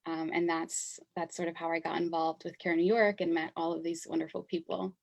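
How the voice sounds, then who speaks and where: A woman's voice: on the low side, little pitch movement, a little fast, vocal fry. A woman in her twenties introducing herself in a friendly way as a guest on a video call. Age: 20s. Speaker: guest, law student and former intern.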